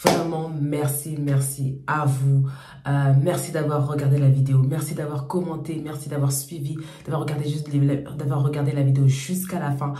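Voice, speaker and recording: A woman hosting a channel, talking casually and hoarsely through a cold, thanking viewers over and over. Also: deep voice, level tone, moderate pace, mellow, roomy sound. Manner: repetitive, chatty thanks to viewers. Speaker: YouTube channel host. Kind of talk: casual talk to camera.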